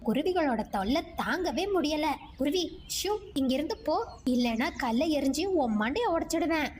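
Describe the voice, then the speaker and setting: Cartoon village landlady, female actor: high-pitched, cross, scolding, with faint noise. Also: expressive, moderate pace, a little mellow. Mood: angry. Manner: scolding, threatening. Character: angry, irritated village woman who owns the house. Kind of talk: cartoon moral-story voice acting.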